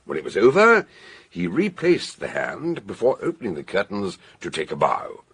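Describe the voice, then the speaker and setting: Husky, deep-voiced eccentric detective. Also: male voice, huge pitch swings, moderate pace, fairly bright tone, exaggerated voice. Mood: explaining. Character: authoritative, blunt, eccentric male amateur detective doctor. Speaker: detective and narrator. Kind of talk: radio drama acting and narration.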